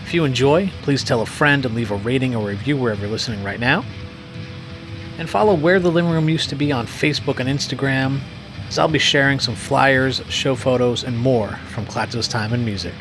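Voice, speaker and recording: A male podcast host with a mid-range voice reads his welcome and the episode rundown. Clear background music plays beneath his voice, and he chats with the guest in a friendly, encouraging way. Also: medium pitch, brisk. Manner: friendly, brief encouraging backchannels. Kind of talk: podcast intro and interview.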